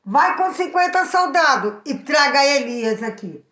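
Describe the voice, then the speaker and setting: A woman's voice: high voice, lively intonation, measured pace, a bit bright, highs cut off. A Brazilian woman voicing a wicked, demanding king who gives emphatic orders in a children's Bible story. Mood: commanding. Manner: commanding, emphatic. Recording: echoey room.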